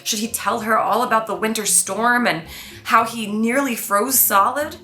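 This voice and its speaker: A woman, a children's author, reading and presenting her own storybook in an expressive read-aloud style. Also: on the high side, normal speed. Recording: roomy echo, faint music behind.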